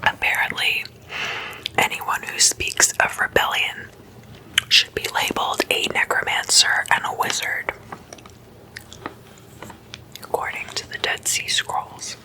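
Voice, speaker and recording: A woman hosting ASMR, whispering a scripted explainer in a breathy, deliberate way with measured pauses between phrases. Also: poor audio. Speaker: ASMR host.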